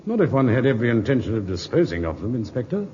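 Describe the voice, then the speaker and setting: An actor playing a measured, pointed man reporting to a police inspector in a 1950s radio drama. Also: mid-range voice, sing-song, loud music behind the voice, lightly acted. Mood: grimly matter-of-fact. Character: man showing a police inspector a suspicious valise. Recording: muffled, noisy old radio recording, echoing hall.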